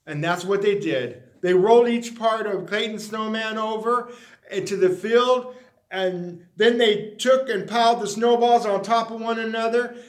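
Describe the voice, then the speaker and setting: A man reading a children's picture book aloud in an animated storytelling style with emphatic, deliberate phrasing. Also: high voice. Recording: roomy, echoey sound.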